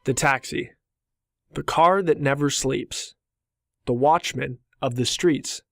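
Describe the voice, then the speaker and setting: A man giving scripted documentary narration in a measured, deliberate way. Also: mid-range voice, creaky, fairly bright tone, dry recording, studio quality, faint music behind.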